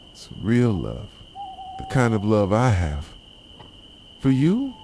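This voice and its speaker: Man acting out a possessive yandere boyfriend, very deep soft male voice, bitter, reproachful, ASMR roleplay, noisy night insects in background. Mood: intense, obsessive. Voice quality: soft, low voice. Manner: intense, deliberate, reproachful dramatic delivery. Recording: clearly noisy, night insects and owl hoots.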